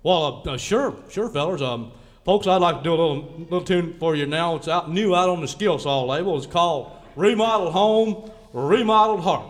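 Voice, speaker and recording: A man's voice: on the high side, moderate pace, natural voice. A man playing a bumbling country singer. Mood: flustered. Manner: rambling, stammering, folksy. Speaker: comic guest singer. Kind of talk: live radio comedy sketch.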